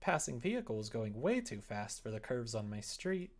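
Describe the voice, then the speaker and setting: A man's voice: medium pitch, very animated, moderate pace. A man voicing an easygoing, aimless but kind young woman in a visual novel, slightly sheepish.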